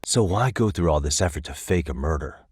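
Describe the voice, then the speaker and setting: An American man voicing a level-headed, thoughtful detective in a video game, with measured, articulate delivery as he reasons aloud. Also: rumbling low, expressive, breathy edge, no room sound, studio quality, heavily acted. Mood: analytical, serious. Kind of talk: scripted game dialogue.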